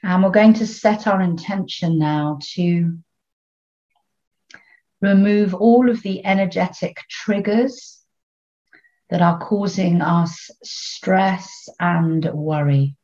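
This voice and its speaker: A woman leading a guided meditation in a soothing, unhurried way, leaving long pauses between short phrases. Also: lowish voice, warm tone, lo-fi sound.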